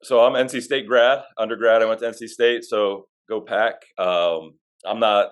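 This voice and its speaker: Deep-voiced easygoing man. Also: steady tone, normal speed. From Pennsylvania. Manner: easygoing, rambling with fillers. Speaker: athletic director. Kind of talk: casual podcast interview.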